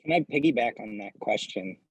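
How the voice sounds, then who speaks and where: A man from the audience asking a question hesitantly, with many pauses and ums. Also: lowish voice, lively intonation, husky. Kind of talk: question in a discussion.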